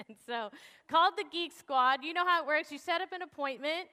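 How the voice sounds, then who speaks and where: Animated high-voiced woman preacher. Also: normal speed. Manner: animated, laughs often, acts out dialogue. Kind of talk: storytelling sermon. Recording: long reverb of a large hall.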